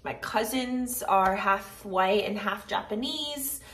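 A woman's voice: medium pitch, takes it slowly, a bit bright. A woman in her thirties speaking in an earnest, conversational way, sharing personal reflections as a casual vlogger. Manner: earnest, conversational, heavy use of "like" and "right". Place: living room at home, indoors. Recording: echoey room.

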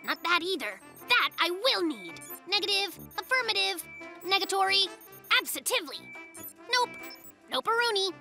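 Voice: extremely high pitch, very animated, normal speed, gravelly, sharp tone, faint music behind, exaggerated voice. An actor voicing a cocky, playful, inventive young bear cub in a children's cartoon, exclaiming and using silly invented words. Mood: busy, self-satisfied.

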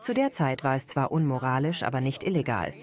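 A woman's voice: very deep voice, big pitch swings, fairly quick, soft background music. A woman speaking as a guest in a television studio interview, reflective and earnest. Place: TV studio set.

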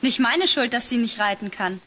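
A woman's voice: on the high side, normal speed, sharp tone, slight background noise. An actress playing a plucky young girl in a German children's drama. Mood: matter-of-fact. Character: plucky girl stunt double.

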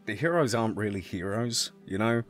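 A man with a fairly low voice chats informally as an interview guest. He works through his answers out loud, with music playing faintly behind him. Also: expressive, fairly quick. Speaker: animation director being interviewed. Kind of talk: casual interview chat.

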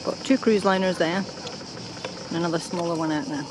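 A woman's voice: on the low side, brisk. A middle-aged woman chatting upbeat to camera as a sailing vlogger, outdoors on a boat and hill paths. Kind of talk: casual travel vlog chat. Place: outdoors on a sailboat and hillside paths. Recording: loud outdoor wind and boat noise.

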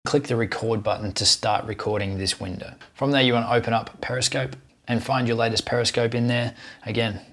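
Guy in his 30s doing a how-to video, mid pitch, clear step by step instructions. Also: fairly even intonation, brisk, fairly bright tone. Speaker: tutorial host. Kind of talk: scripted how-to tutorial narration.

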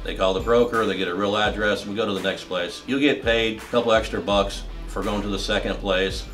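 Plainspoken trucker, low voice. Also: male voice, steady tone, brisk, a bit bright, soft background music. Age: 50s. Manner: plainspoken, matter-of-fact, lists in short bursts. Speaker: truck driver. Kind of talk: answering interview questions.

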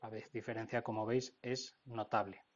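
A man's voice: on the low side, little pitch movement, moderate pace, dull audio. A man with an accent from Spain narrating an informal technical tutorial, explaining step by step and often checking in. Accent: Spain Spanish. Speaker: technical instructor.

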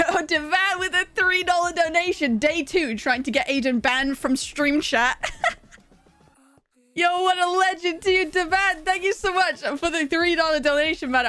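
Fast, bubbly English woman streamer. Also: high voice, sharp tone. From England. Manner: fast, bubbly, laughs often. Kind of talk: casual live-stream chat.

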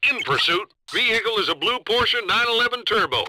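Intense, sharp-voiced patrol cop. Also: male voice, sits high, lively intonation, a little fast, dry recording, heavily acted. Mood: urgent. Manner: clipped, urgent. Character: intense, urgent male highway patrol officer. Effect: police radio. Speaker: police officer. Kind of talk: video game radio chatter.

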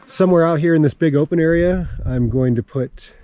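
A man's voice: on the high side, brisk, warm tone, dry recording. A man in his forties giving a casual walk-around vlog outdoors on open dry land, explaining his plans as he points things out. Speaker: homesteading vlogger.

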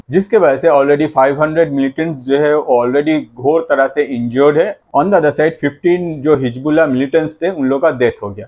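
A man's voice: on the high side, darkish tone. A man in his thirties with an Indian accent explains news informally to camera, mixing in English phrases and leaning on repeated connecting phrases. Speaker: YouTube presenter. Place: plain indoor room. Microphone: clip-on lapel mic.

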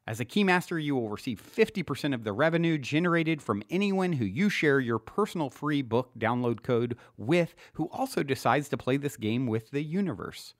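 Guy reading a self help audiobook, earnest and motivational, pitch goes up and down a lot, really dry sound. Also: no room sound. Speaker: podcast host reading his own book. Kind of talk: scripted audiobook narration.

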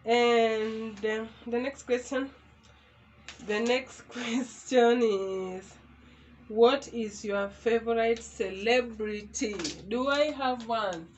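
A woman's voice: on the high side, slow pace, dull audio, slight background noise. A young woman from Kenya with a Kenyan accent, chatting casually to the camera in a Q&A vlog from a small room. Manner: chatty, animated hand gestures. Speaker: YouTuber. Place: small indoor room, in front of a door.

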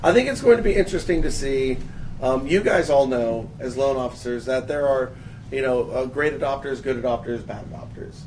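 Gravelly-voiced man hosting a panel. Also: mid-range voice, a little mellow. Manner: casual, halting, lots of restarts. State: hoarse from a long three days. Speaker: host. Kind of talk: panel discussion. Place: office room with a panel. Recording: distant mic, noisy.

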